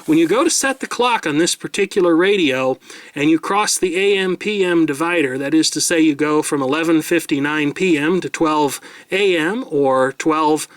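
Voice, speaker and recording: Man, middle-aged, American, fairly high voice, dry close sound; precise, wordy radio reviewer. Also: normal speed, dry recording. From United States. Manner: wordy, precise, self-mocking asides. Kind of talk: product review narration. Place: desk in a home room.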